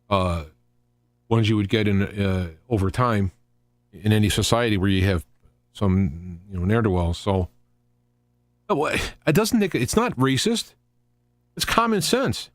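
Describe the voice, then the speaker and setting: Man, mid-pitched voice, talk radio host, rambling opinionated monologue, stop-and-start phrasing, rhetorical questions, dry room-free sound. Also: no room sound. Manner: halting and rambling, often repeating and restarting phrases. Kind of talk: unscripted political monologue on a live talk show.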